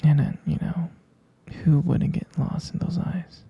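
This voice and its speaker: A man playing a devoted, smitten boyfriend in an intimate ASMR roleplay, speaking in a breathy, husky whisper, hesitant and tenderly repeating his phrases. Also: fairly high pitch, steady tone, measured pace, mellow, highs cut off, exaggerated voice. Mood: adoring, playful.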